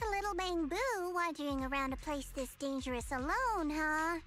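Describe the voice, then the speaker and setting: Cool, high-voiced young investigator. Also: female voice, animated, normal speed, fairly bright tone, soft background music. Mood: assessing. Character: self-assured, shrewd young female investigator. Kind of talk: video game voice acting.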